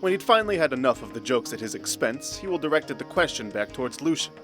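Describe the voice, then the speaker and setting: A man's voice: medium pitch, sing-song, fast talker. Male fantasy narrator over prominent music. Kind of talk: scripted fantasy story narration.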